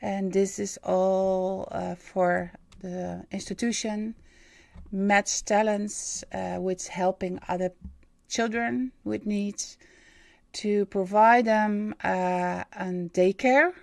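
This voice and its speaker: She is a Dutch woman in her fifties speaking English as a second language, and she takes her time with plenty of hesitations. Her voice has a gravelly edge, and it sits close and dry on the mic. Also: medium pitch, steady tone, no room sound. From Netherlands. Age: 50s. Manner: halting, many ums and uhs, searching for English words. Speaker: livestream host and medium. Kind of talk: hesitant livestream monologue.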